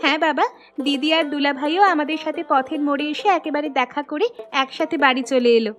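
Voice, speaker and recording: High-pitched, caring young wife for a family cartoon, acted by a woman; deliberate storybook delivery, music underneath. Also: steady tone, close to an everyday voice. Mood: eager, affectionate. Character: dutiful young married daughters, cheerful and caring. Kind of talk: Bengali animated family story dialogue.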